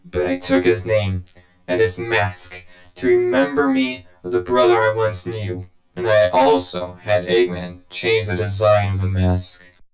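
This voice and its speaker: Man playing a low, echoey scheming villain. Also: very animated, unhurried, faint music behind. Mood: brooding, scornful. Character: scheming, vengeful villain brother, smug and cold. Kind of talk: dramatic video game cutscene monologue.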